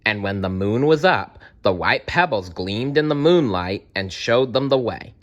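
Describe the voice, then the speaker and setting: A man narrating a children's fairytale aloud, expressive and speaking directly to young listeners. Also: lowish voice, measured pace, highs cut off. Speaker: storyteller.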